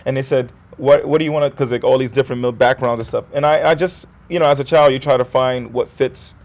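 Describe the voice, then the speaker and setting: A man's voice: medium pitch, steady tone, quick pace, darkish tone. A male actor with a mid-range voice chats as a talk show guest. His stories meander and he drops in little jokes. The tone is casual throughout. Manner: meandering, joking. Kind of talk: casual interview chat.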